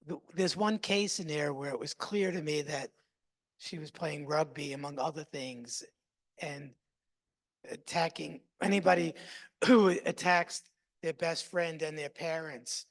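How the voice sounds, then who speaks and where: A man's voice: fairly high pitch, steady tone, a little slow, creaky. A man in a clinical case discussion, thinking aloud with many restarts and asides.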